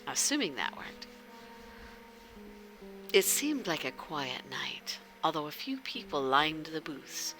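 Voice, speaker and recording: Female streamer narrating a story game: low-pitched, slow-paced, playful and theatrical. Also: poor audio. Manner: animated, theatrical, drops into whispers. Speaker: livestream host reading a visual novel with chat. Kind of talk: playful livestream chat mixed with story narration.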